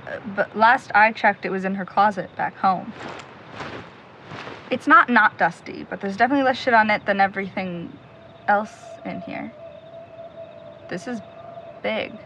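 A woman's voice: medium pitch, normal speed, long pauses, pushed and bright, slight background noise. An actress playing a determined, blunt young woman searching for a friend in a naturalistic audio drama. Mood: shaken, hopeful. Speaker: actor in a supernatural mystery audio drama.

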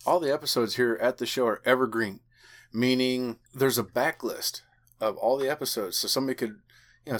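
A man hosting a podcast in a chatty, enthusiastic way. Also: mid-range voice. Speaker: podcast host.

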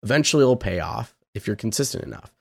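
A young man hosting a casual podcast, his voice hoarse and raspy from yelling, talking loosely and energetically and swearing now and then. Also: on the low side, expressive, fast talker, no echo. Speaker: podcast host.

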